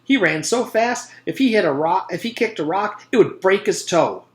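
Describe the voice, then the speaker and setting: A man voicing an eager, boastful schoolboy who tells tall tales in an animated, earnest way, as in a storybook reading. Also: high-pitched, moderate pace, echoey room, voice pushed up. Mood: excited, boastful. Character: eager schoolboy telling an excited, gory tall tale.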